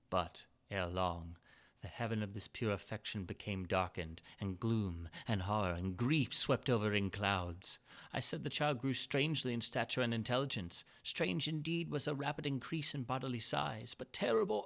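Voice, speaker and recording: A man giving a dramatic reading of gothic fiction, swinging between hushed, brooding passages and impassioned outbursts. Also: medium pitch. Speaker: reader and narrator.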